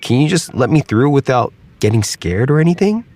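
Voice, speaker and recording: A man's voice: mid-range voice, animated, a little fast, darkish tone, pitched above the performer's own voice, lightly acted. A man voicing a curious, easygoing alligator in a storybook tale, friendly and laid-back. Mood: reassuring.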